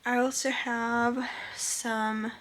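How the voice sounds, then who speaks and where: Slow, soft-spoken young woman. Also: fairly high pitch, level tone. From Switzerland. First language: French. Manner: soft-spoken, unhurried, with many fillers. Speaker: vlogger. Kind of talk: casual vlog talk to camera.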